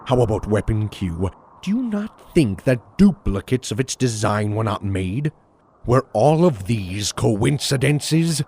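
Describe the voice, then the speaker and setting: A man's voice: on the low side, very animated, a little slow, darkish tone, breathy edge, heavily acted. A man playing a scheming, smug supervillain mastermind in an audio drama, with a taunting, theatrical delivery. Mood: gloating. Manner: taunting, theatrical, self-satisfied. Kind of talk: audio drama villain acting.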